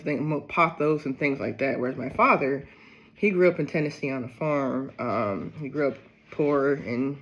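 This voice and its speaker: A man from Ohio chatting casually to camera in a gardening vlog, rambling and low on energy, often breaking into excited exclamations. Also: lo-fi sound. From Ohio, USA. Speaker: gardening vlogger.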